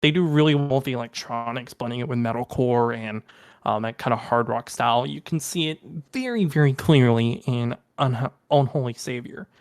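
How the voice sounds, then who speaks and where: A man's voice: medium pitch, normal speed, dry recording, clean studio sound. A young man co-hosting a podcast, chatting casually and enthusiastically about music, with lots of ums and restarts. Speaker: podcast co-host. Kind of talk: casual music review chat.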